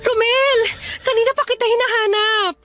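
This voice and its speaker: A woman's voice: very high-pitched, steady tone, moderate pace, over loud music. A woman playing an earnest, pleading young adult Filipina daughter in a radio drama. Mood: anxious.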